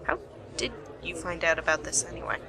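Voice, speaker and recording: A woman's voice: deep voice, lively intonation, a little fast, vocal fry, crowd noise. A woman playing a wry, determined young private investigator in an amateur radio play. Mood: curious, guarded.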